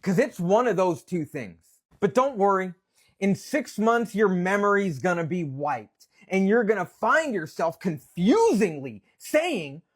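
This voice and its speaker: A man's voice: high voice, slightly rough. A man delivering a blunt, mocking political rant straight to his audience. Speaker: social media political commentator. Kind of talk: opinionated rant to camera.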